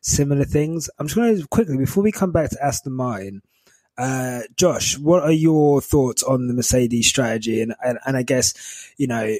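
A man hosting a sports podcast, upbeat and encouraging, quick to laugh and pass the question to guests. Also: medium pitch, a little mellow, no room sound. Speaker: podcast host. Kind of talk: casual chat, hosting.

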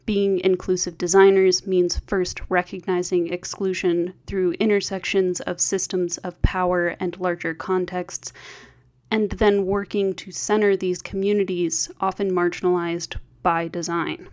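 A woman's voice: on the low side, flat delivery, unhurried, a little mellow. A woman reading a scripted podcast introduction as host.

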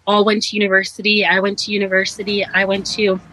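A young woman from Nigeria, raised in Ohio, chatting as an interview guest with relaxed fillers and easy laughs. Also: mid-range voice, fast, slight background noise, rough recording. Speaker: guest, social justice advocate. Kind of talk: casual interview.

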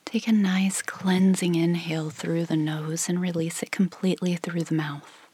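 A woman leading a guided meditation in a soft, breathy, near-whispered voice, gently and without hurry, leaving long pauses. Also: lowish voice, fairly even intonation. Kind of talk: guided meditation, slow calm instruction.

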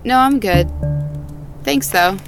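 Audio drama female role: frank, doubting, wry woman with natural everyday delivery and animated melody, music behind. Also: fairly high pitch, takes it slowly. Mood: curious, casual. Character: frank, skeptical small-town woman, wryly blunt.

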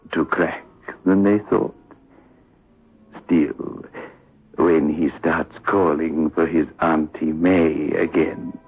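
An actor playing a sinister, darkly amused horror host with a drawn-out, macabre delivery on an old 1960s radio show. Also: male voice, very deep voice, breathy edge, voice pushed down, full character voice. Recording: muffled old radio recording.

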